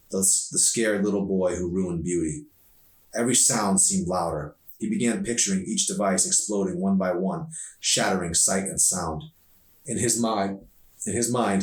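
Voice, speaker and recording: A low-pitched man narrates a novel as its author, in an expressive storytelling style. The recording is roomy and echoey, with him a little away from the mic. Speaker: author reading his novel. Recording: echoey room, distant from mic.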